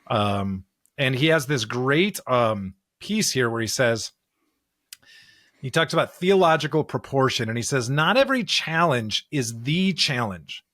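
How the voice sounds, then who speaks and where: A man's voice: mid-range voice, expressive, measured pace, fairly bright tone, no room sound, studio quality. A man co-hosting a podcast, talking through scripture in an earnest, emphatic, conversational way. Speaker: podcast co-host.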